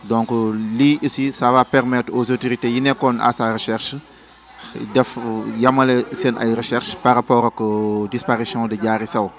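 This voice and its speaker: Flat-voiced young Senegalese man. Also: mid-range voice, normal speed. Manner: steady, mixing in French phrases. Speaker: street interviewee. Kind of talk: street interview answer. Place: indoors in a small shop. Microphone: handheld mic.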